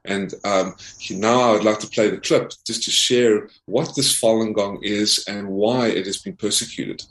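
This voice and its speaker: A man's voice: lowish voice, steady tone, normal speed, a bit bright. A man from South Africa speaking as an investigative reporter and interview guest, earnestly presenting in a formal, emphatic way and often repeating himself. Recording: roomy, echoing voice.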